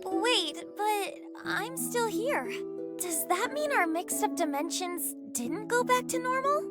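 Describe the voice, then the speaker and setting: A young game heroine with a very high, expressive voice. She is earnest and determined, speaking unhurriedly over loud music. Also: measured pace, full character voice. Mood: concerned, surprised. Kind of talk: dramatic video game voice acting.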